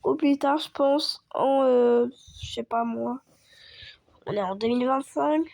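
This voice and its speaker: A woman chatting casually over gameplay as a video creator, hoarse from a cold and rambling with lots of fillers. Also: high-pitched, measured pace, darkish tone, no echo. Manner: rambling, full of fillers and restarts.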